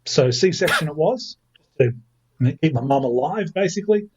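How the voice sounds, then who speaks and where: A man's voice: on the high side, animated, moderate pace, muffled recording, background noise. A man in his thirties with an Australian accent, a podcast guest chatting casually and joking at his own expense, often restarting his sentences. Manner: chatty, self-mocking, frequent restarts. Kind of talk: casual chat.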